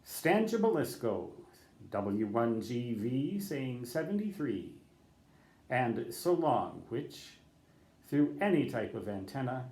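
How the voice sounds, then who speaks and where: A man's voice: medium pitch, very animated, slow pace, a little mellow, echoey room. An older man who is a ham radio operator, giving rambling advice off the cuff, correcting himself and spelling out letters in radio code. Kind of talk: unscripted talk to camera.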